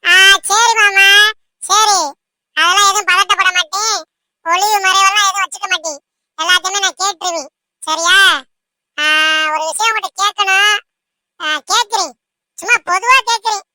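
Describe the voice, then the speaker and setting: Cartoon bride-to-be played by a woman: young, timid, fretful, extremely high and piercingly bright. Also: steady tone, normal speed, long pauses, no room sound, exaggerated voice. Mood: timid, hesitant. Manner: hesitant, fretful, repeatedly seeks reassurance. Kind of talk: amateur cartoon dubbing.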